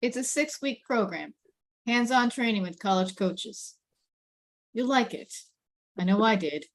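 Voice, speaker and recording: A woman reading the part of a firm, reasoning father in a screenplay table read, in a measured manner. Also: fairly high pitch, animated.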